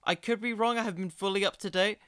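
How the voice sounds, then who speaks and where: A man's voice: high voice, steady tone, quick pace, pushed and bright, smooth, no echo, clean studio sound. A man hosting a solo podcast, giving casual, opinionated commentary in an informal, digressive way. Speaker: podcast host.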